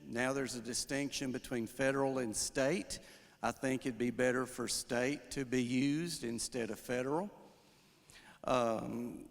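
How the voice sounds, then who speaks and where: A man with a booming voice giving public comment at a town hall into a handheld microphone, asking questions with some hesitations. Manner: loud, booming voice; inquisitive, with hesitations and restarts. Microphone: handheld microphone passed through the audience. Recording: echoey hall from off-mic.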